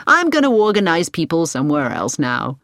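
A woman voicing a brisk, secretive American woman traveler in a storybook, breezy and decisive with a hard edge. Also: low voice, big pitch swings, a bit bright, no room sound. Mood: matter-of-fact.

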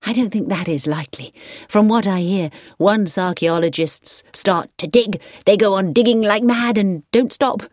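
A woman's voice: medium pitch, normal speed, a little mellow, no echo, clean studio sound, voice pushed up, close to an everyday voice. A woman doing a playful young heroine.

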